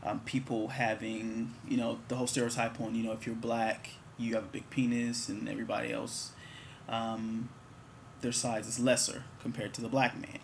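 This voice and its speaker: A man's voice: mid-range voice, level tone, normal speed, creaky, a little hiss or hum. A man in his twenties, answering viewer questions in a candid, rambling, joking way. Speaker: vlogger. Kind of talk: casual talk to camera.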